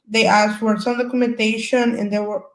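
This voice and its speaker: A young woman from El Salvador, a Spanish speaker with a Salvadoran accent, telling her own story on a discussion panel in halting English as she searches for words. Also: a little high, little pitch movement, reverberant. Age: young adult.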